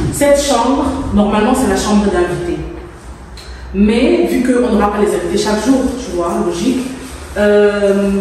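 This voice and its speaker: A woman's voice: mid-range voice, darkish tone. A woman from Cameroon, a native English speaker with a Cameroonian accent, vlogging informally and thinking aloud as she works in an empty room, sometimes slipping in English words. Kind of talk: casual vlog chat while working. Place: empty freshly plastered room indoors. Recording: very echoey empty room, faint noise, distant mic.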